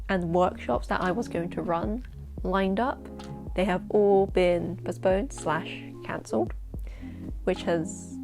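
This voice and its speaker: Young woman, London accent, husky, chatty and excitable, middle pitch, music underneath. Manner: chatty, excitable, quick asides and laughs. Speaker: poet and vlogger. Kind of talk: casual vlog chat to camera. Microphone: camera-mounted shotgun mic.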